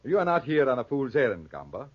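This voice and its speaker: An actor in a 1940s radio drama playing a proud, honor-bound farmer and father, grave and deliberate. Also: medium pitch, very animated. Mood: firm, proud. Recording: muffled, echoey 1940s radio recording.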